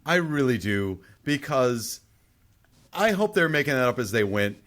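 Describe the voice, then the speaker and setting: Blunt man with a lively, swinging pitch. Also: measured pace. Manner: blunt, opinionated, profane. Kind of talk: casual film discussion.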